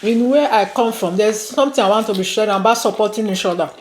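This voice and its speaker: A woman's voice: medium pitch, fast, a little mellow. A woman with a Nigerian accent hosting a mukbang vlog, chatting casually as she eats. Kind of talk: casual chat while eating. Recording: roomy echo, faint noise, dish clinks. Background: clicks, cutlery and dishes.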